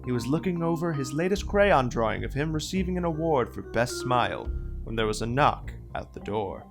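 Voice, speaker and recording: A man delivers wry scripted narration for a comedy. His voice is mid-pitched and droll, telling the story tongue-in-cheek with music playing behind him. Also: very animated, moderate pace, vocal fry.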